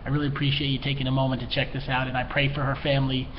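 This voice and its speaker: A man with a fairly even, mid-pitched voice talks earnestly and from the heart, sounding emotional and a little tearful. He speaks straight to the viewer as an educator offering support. The recording is muffled and lo-fi, with faint noise behind him. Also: rapid-fire. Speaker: mental health educator, therapist and coach. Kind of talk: earnest personal talk to camera.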